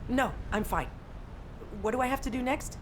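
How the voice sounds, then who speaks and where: A woman's voice: on the high side, lively intonation, moderate pace, slight background noise, natural voice. A woman acting as a stubborn, self-sacrificing young fighter in a video game, serious and resolute. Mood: calm, resolute.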